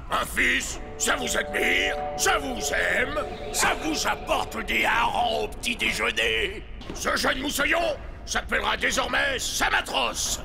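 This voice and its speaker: An actor voicing a ferocious, boisterous space pirate chief in a cartoon, gravelly and theatrical, with blustering delivery. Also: male voice, full character voice. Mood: boisterous musing. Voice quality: gravelly, booming. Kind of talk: French cartoon villain acting. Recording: loud music behind the voice.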